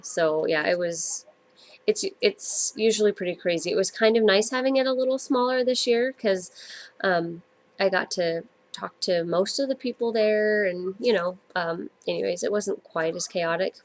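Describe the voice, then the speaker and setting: A woman in her thirties from the United States, hosting her own podcast in a casual, rambling way with lots of ums, her nose stuffed up from a cold. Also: on the low side. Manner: rambling, many ums and self-corrections. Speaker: podcast host. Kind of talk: casual solo podcast chat.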